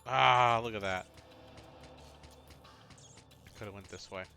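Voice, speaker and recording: A man's voice: low-pitched, little pitch movement, unhurried, fairly bright tone. A man giving casual, rambling gameplay commentary as a livestreamer, chatting with viewers and grumbling mildly at the game. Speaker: livestreamer, VTuber. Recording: music behind the voice.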